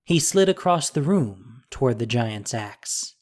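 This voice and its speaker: A narrator reading a scripted fantasy audio drama in a measured, dramatic, descriptive way. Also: mid-range voice, lively intonation, darkish tone.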